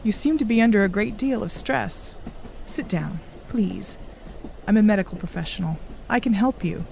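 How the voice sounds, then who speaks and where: An actress as a composed female medical professional in an audio drama. She speaks at a middle pitch, measured and courteous, with quiet firmness. There is light ambient noise around the voice. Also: gravelly, natural voice. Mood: calm, firm. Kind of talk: audio drama acting with reflective narration.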